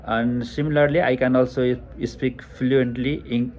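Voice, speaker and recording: Hesitant Nepali-accented young man, flat voice. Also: medium pitch, measured pace, slight background noise. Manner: hesitant, many fillers and restarts. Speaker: test taker. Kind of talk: spoken exam practice answers. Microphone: headphone mic over Bluetooth to phone.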